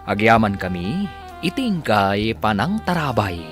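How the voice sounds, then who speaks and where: A man's voice: on the low side, big pitch swings, measured pace, over music. A man with an Ilocano accent giving scripted, dramatic narration as the announcer of a radio drama.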